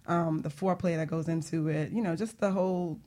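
A woman co-hosting a casual radio chat, animated and joking, often breaking into laughter. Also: sits low, fairly quick. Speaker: radio co-host, coach.

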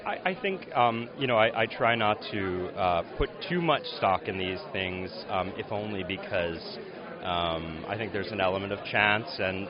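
A man, an author, answering a question at an awards dinner in a hesitant, thoughtful way with many ums. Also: lowish voice. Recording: dull audio in a noisy crowd.

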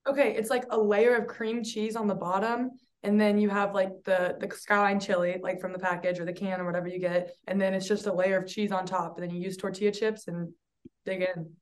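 A woman's voice: medium pitch, a little fast. Young woman from Northern Kentucky. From Northern Kentucky, USA. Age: young adult. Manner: relaxed, laughs easily, many "like" fillers. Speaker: college basketball player, guest. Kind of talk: casual interview chat.

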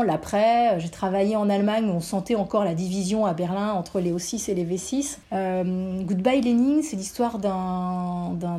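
A woman, a guest, telling personal film memories in an animated, casual way with frequent fillers. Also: mid-range voice. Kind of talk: casual storytelling about a film.

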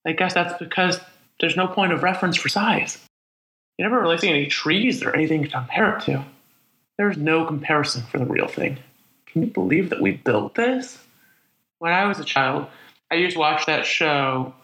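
Male lone survivor, weary and reflective, higher-pitched bright voice, halting conversational monologue, radio drama. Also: animated, roomy sound, low-quality recording. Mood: weary, musing. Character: lonely, weary, reflective male survivor wandering after disaster. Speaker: radio play actor. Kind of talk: dramatic monologue, spoken like an audio diary.

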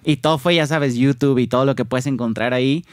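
A man with a northern Mexican accent, a music producer guesting on a podcast, talking in a relaxed, modest way with many fillers. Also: medium pitch, a little fast, dry recording, studio quality. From Tijuana, Mexico. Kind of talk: casual interview.

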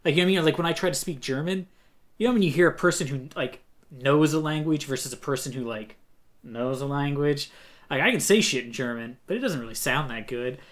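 A man's voice: on the high side, a little fast. A man co-hosting a podcast, chatting casually and animatedly, swearing and mocking as he talks. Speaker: podcast co-host. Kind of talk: casual chat.

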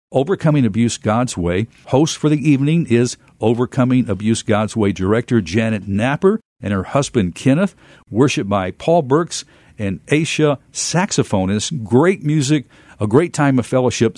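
American radio host, dry studio sound. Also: male voice, mid-range voice, darkish tone, dry recording.

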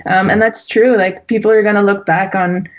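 A young woman speaks as a guest in a relaxed interview and rambles with fillers and restarts. Her voice sits at a middle pitch. She talks informally and thinks aloud. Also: normal speed. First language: Dutch. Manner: talkative, full of fillers and restarts. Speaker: guest, wedding and family photographer. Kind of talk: casual interview conversation.

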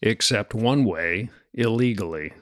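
A man with a somewhat low voice hosts a public radio interview, narrating between the clips in a calm, measured way. His voice is recorded close and dry, with no room sound. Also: no echo.

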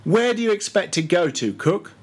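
A man's voice: high-pitched, sing-song, normal speed, breathy edge, pitched above the performer's own voice, exaggerated voice. A man voicing a bossy, mocking ship's mate who badgers and gives orders in a storybook reading. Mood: mocking, commanding. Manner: commanding, interrogating, mock-solemn.

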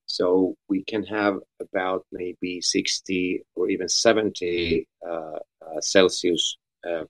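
Guy from austria chatting on a podcast, kinda low voice, rambly and jokey. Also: darkish tone, poor audio. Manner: chatty, joking, restarts often. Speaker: guest musician. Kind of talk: casual podcast chat.

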